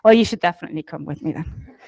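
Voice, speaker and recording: A woman's voice: deep voice, racing, vocal fry, darkish tone, breathy edge, rough recording. A woman leading a storytelling workshop, coaching a participant with probing, encouraging questions.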